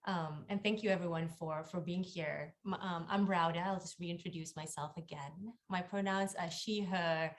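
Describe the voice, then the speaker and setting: A young woman from Malaysia, Malay her first tongue, shares her story over a video call. Her voice sits low and she takes her time, filling pauses with ums and uhs. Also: steady tone. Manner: warm, unhurried, frequent ums and uhs. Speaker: workshop facilitator.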